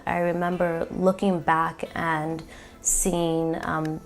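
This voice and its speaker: Earnest low woman with gravelly voice. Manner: reflective, earnest, with frequent ums. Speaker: community organizer. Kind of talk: personal storytelling talk.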